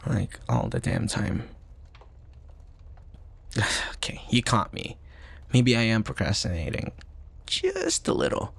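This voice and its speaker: Casting: male actor as protective college-age best friend for ASMR roleplay audio, husky with vocal fry, gentle, hesitant, teasing. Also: on the low side, huge pitch swings, takes it slowly, pauses often, no room sound, full character voice. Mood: sheepish, playful. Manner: soft, sighing, hesitant stammers, breathy asides.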